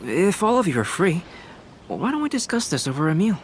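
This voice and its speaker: A man voicing a calm, perceptive young alchemist who talks in a measured, analytical way, in a game. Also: high voice, a little hiss or hum, heavily acted. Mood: friendly. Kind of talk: game voice acting. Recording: game cutscene with music underneath. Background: game music.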